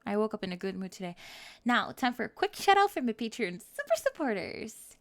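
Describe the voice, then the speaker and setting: A woman speaking as an ASMR channel host, giving an outro with thanks and shout-outs to supporters. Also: on the high side, very animated, fairly quick.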